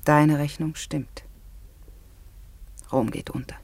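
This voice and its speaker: An actress playing a proud, ambitious middle-aged Roman empress in a German radio play, haughty and accusing. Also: female voice, low voice, fairly even intonation, slow, creaky, a little mellow, no room sound, full character voice. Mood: bitter, shaken.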